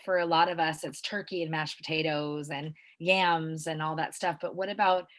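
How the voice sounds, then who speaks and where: A woman's voice: low-pitched, fairly even intonation, moderate pace. A woman interviewing someone casually, in an informal, enthusiastic way.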